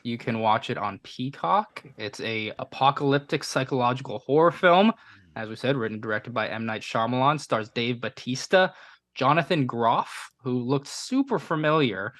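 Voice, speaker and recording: A man's voice: medium pitch, expressive, normal speed, fairly bright tone, no room sound. A man co-hosting a casual podcast, a bit sniffly from a cold, rambling and laughing at himself as he reviews a movie. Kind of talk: casual chat.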